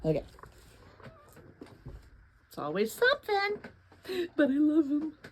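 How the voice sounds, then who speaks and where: A woman narrating a young adult story aloud in an expressive read-aloud style. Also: high-pitched, slow, slight background noise. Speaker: narrator reading a novel aloud.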